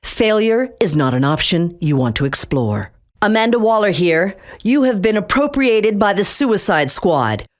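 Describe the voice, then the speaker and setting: A woman's voice: mid-range voice, big pitch swings, unhurried. An actress voicing a stern female government agency director in a video game, blunt and intimidating, giving orders over radio-style briefings. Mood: commanding. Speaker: game character. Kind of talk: game voice lines.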